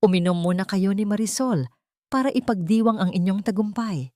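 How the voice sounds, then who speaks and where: A woman voicing a betrayed wife in a story, quiet, grave and restrained, coldly resolved on revenge. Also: mid-range voice, a little fast, no echo, studio quality, close to an everyday voice. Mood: cold, controlled.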